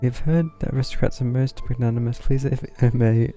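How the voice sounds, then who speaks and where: Deadpan man with a dark, flat voice. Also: mid-range voice, brisk, faint music behind. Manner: deadpan, sardonic. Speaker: game streamer. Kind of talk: reading game dialogue aloud with wry asides.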